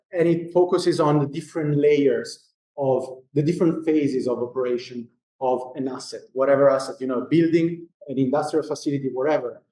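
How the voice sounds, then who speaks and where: Male presenter, Genoese Italian accent, easygoing and joking, mellow tone, echoey hall sound. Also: medium pitch. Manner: casual, joking, many fillers and restarts. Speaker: company presenter at a lecture. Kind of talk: informal conference presentation.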